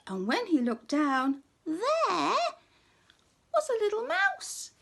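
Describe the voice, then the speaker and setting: A woman telling a children's story expressively, often dropping to a hush for suspense. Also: extremely high pitch, huge pitch swings, slow, creaky, background noise.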